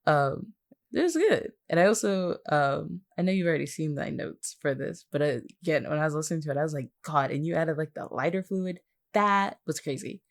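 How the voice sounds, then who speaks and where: Female co-host, notably deep voice for a woman, conversational, gently teasing deadpan delivery. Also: a little fast, creaky. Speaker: podcast co-host, voice actor. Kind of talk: casual chat.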